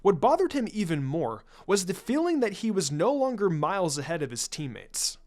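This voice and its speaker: Animated male story reader. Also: sits high, normal speed. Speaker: fan fiction reader. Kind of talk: scripted story narration.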